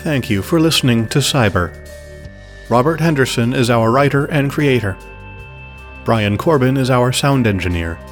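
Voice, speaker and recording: A man's voice: mid-range voice, expressive, moderate pace. A man announcing the closing credits of an audio drama podcast in a scripted read. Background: music.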